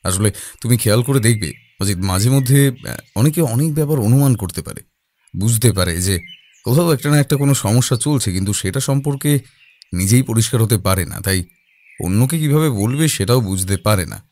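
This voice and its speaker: A man playing a calm, observant detective in an audio drama, speaking deliberately with thoughtful pauses. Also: medium pitch, lightly acted. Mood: calm, pondering. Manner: deliberate, pausing, hesitating mid-thought.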